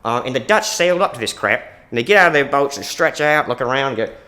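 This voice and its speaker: A man's voice: mid-range voice, lively intonation, fast, sharp tone. A man plays a laid-back Australian bloke with a strong Australian accent. He rambles along in an easygoing way, telling a long tale. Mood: storytelling. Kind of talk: improvised comedy monologue.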